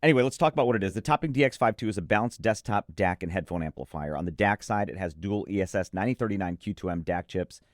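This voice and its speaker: A man's voice: low-pitched, fast talker, few pauses, no room sound, studio quality. A man co-hosting an audio gear preview, chatting enthusiastically and emphatically about products. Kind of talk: enthusiastic product review chat.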